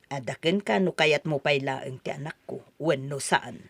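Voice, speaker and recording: A woman's voice: extremely low pitch, normal speed, creaky, no echo, exaggerated voice. A woman playing a stern, scheming mother in a melodramatic audio drama, speaking with emphatic pressure. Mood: grave pleading.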